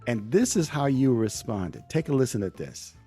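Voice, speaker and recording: A man's voice: medium pitch, big pitch swings, normal speed, dark tone, slightly rough, soft background music. A man hosting a podcast, talking in a gracious, complimentary conversational interview style. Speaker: podcast host.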